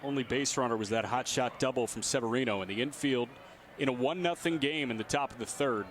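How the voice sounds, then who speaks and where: A man's voice: medium pitch, a little fast. Man calling baseball over ballpark noise. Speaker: radio baseball play-by-play announcer. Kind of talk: live baseball play-by-play commentary. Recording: outdoor stadium ambience behind voice. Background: ballpark ambience.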